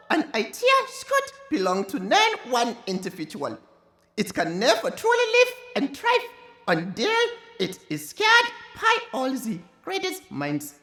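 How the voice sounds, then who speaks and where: An excitable foreign scientist for a live radio drama. The voice is extremely high and leaps around in pitch, slow in pace and given to halting, grand phrasing. It rings in an echoey room with a faint crowd. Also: female voice, full character voice. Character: excitable foreign scientist, Doctor Dietrich, gala host.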